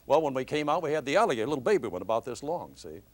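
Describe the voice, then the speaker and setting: A man of medium pitch reminisces with fellow radio veterans in casual conversation. He talks quickly and slips in teasing asides. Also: very animated, darkish tone. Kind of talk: casual group reminiscing, storytelling.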